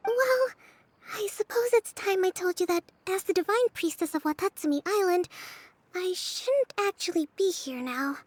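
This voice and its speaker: A woman voicing a composed young priestess and leader in a video game, speaking softly, politely and in measured, formal phrases. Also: very high voice, no echo. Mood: composed, reflective. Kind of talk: video game character acting. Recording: music under the voice. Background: background music.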